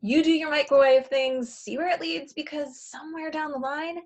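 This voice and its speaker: A woman playing a bossy, exasperated matchmaker in a comedy play table read, brisk and sardonic as she gives orders. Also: sits high, fairly even intonation, close to an everyday voice. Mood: urgent, encouraging.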